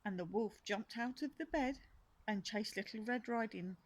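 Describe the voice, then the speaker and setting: A woman's voice: fairly high pitch, normal speed. Older woman reading a children's storybook. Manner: gentle asides to young listeners. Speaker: storyteller grandmother. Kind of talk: children's storybook read-aloud. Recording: faint background noise.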